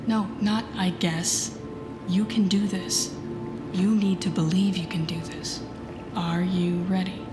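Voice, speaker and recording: Slow-speaking, intense villainess played by a woman. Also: lowish voice, flat delivery. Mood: soft, coaxing. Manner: slow, deliberate, often dropping into breathy near-whispers. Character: female telepathic villain, guarded, intense, secretly tender mentor. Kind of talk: intimate audio roleplay acting addressed to the listener. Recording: roomy echo with music behind.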